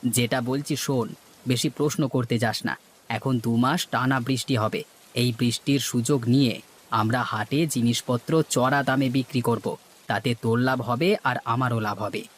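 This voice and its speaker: A man's voice: medium pitch, steady tone, moderate pace, natural voice. A man voicing a cocky, scheming village market trader in a cartoon, bossy and teasing, with noise behind him. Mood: scheming, eager. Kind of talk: cartoon dialogue.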